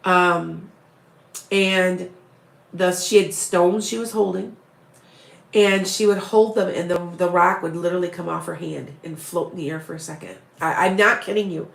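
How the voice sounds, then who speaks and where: A woman's voice, low-pitched and gravelly, with a raspy edge from a head cold that leaves her sniffly and a little short of breath. She chats casually with live-stream viewers, rambling and often restarting her sentences. The sound is roomy and echoey. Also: steady tone, normal speed. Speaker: psychic medium live-streaming to followers.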